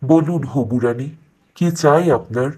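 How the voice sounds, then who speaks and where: Haughty cartoon king, acted by a man: courtly, indulgent and commanding, husky mid-range voice. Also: medium pitch, slow pace, dark tone, low-quality recording. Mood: indulgent, courting. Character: smitten, haughty king with a magic staff. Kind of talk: animated fairy tale voice acting.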